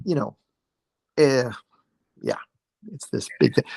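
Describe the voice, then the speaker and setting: A man's voice: medium pitch, huge pitch swings, slow pace, creaky, a little mellow, dry recording. An American man in his forties from Oregon, a podcast guest chatting casually over a video call, rambling with many fillers and restarts. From Oregon, USA. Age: 40s. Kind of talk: casual conversation.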